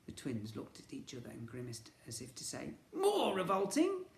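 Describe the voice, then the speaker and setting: A man's voice: mid-range voice, level tone, a little fast, gravelly, echoey room. A man narrating a storybook for young children, in the manner of a teacher reading aloud.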